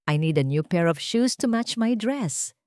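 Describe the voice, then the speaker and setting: A woman's voice: lowish voice, expressive, a little slow, dark tone, dry recording, studio quality. A woman with a Filipino accent voicing a gushing, sugary, two-faced young fiancée in a narrated love story. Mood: delighted. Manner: gushing, sweet.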